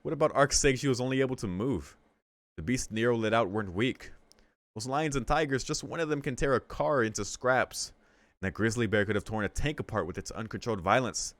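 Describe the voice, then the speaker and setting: A man's voice: mid-range voice, brisk, no room sound. A young man reading a visual novel aloud and reacting in a loose, slangy way. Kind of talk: casual reaction commentary.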